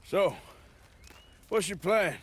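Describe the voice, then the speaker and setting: An actor playing a gruff, sardonic middle-aged outlaw with a rural Western American accent and a dry drawl, in a game cutscene. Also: male voice, sits high, expressive, slow pace, exaggerated voice. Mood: dry, casual. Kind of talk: naturalistic game cutscene acting.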